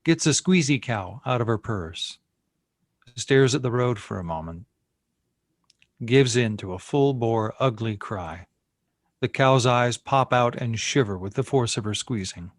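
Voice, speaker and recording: A man of medium pitch narrates screenplay directions. He reads in short, matter-of-fact phrases, recorded very dry and close. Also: lively intonation, measured pace, dry recording. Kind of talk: scripted narration at a screenplay table read.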